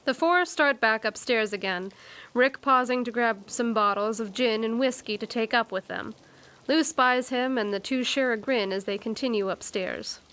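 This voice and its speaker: A woman's voice: a little high, normal speed, fairly bright tone, smooth, close to an everyday voice. A woman narrating an audio drama, scripted storytelling broken by informal asides.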